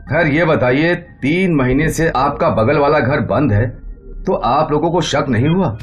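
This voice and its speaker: A man's voice: fairly high pitch, measured pace, soft background music, close to an everyday voice. A man voicing a suspicious, authoritative police inspector in a cartoon horror story. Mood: puzzled, questioning. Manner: authoritative, questioning. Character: stern, suspicious, puzzled male police inspector.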